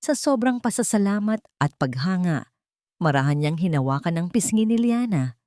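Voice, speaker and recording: A woman narrating a scripted romance story in an expressive, sentimental storytelling manner. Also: on the low side, normal speed, no room sound, clean studio sound.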